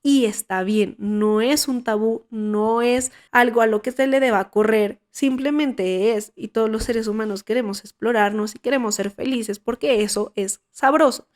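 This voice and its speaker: Colombian woman, hoarse from a cold, fairly high voice, outspoken podcast chat, young adult. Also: normal speed, hardly any pauses, a little mellow. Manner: frank and outspoken, with frequent restarts. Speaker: podcast host. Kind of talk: casual podcast monologue.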